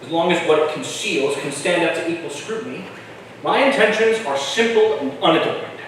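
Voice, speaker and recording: An earnest, plain-spoken colonial family man, played by a man in a staged historical reading. His voice is fairly high and lively, with long echo in a hall. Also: normal speed. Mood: earnest, wary. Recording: echoey hall, far from mic, faint noise.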